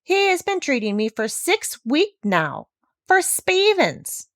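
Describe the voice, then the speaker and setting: Woman voicing an aggrieved plow horse, raised pitch. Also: wildly swooping pitch, takes it slowly, a bit bright, no room sound, clean studio sound, heavily acted. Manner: complaining, emphatic. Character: aggrieved male plow horse, plain-spoken patient.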